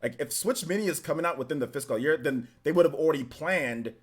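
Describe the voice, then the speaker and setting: A young man hosting a live stream, chatting casually and answering viewer comments in an animated, incredulous way, with many restarts. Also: fairly high pitch, quick pace, husky.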